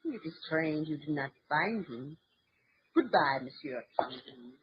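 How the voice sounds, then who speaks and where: A politely suspicious foreign lady in a vintage radio serial. She speaks very slowly and deliberately, with expressive swings of pitch. The old recording is dull. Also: breathy edge, heavily acted. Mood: cool, suspicious. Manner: halting, deliberate. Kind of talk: 1940s radio drama acting.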